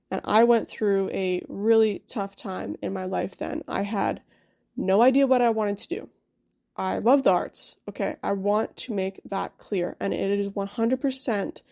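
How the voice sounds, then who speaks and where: A woman's voice: mid-range voice, mellow. A young woman from Quebec telling her personal story to camera in a candid, chatty way, with frequent asides like "you know?". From Quebec, Canada. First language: English. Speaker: dental hygienist vlogger.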